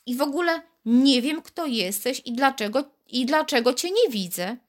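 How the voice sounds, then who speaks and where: A curious young girl from a storybook, played by a woman reading aloud. She talks in a bright, excited way, full of surprise. Her voice rises high when she wonders about things. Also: moderate pace. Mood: puzzled.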